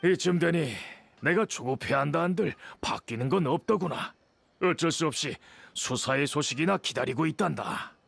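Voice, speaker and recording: Elderly master craftsman for a game: gravelly creak, slightly high, patient and kindly elder phrasing. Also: a bit bright, dry recording, full character voice. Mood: troubled, measured. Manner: measured, old-fashioned elder speech. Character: elderly male master swordsmith, patient, kindly, worried for nephew. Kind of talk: video game voice acting. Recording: clear background music.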